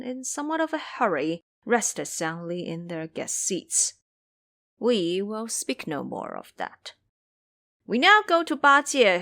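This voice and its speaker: A woman narrates an audio drama from a script. Her delivery is dramatic storytelling, with a lively, wide-ranging pitch at a middle register.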